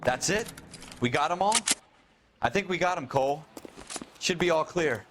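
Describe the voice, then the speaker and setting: Game casting: plain-spoken American cop voiced by a man, slightly high voice, colloquial, wary, noisy background. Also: animated, normal speed, close to an everyday voice. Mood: businesslike. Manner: blunt, colloquial. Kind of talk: video game dialogue acting.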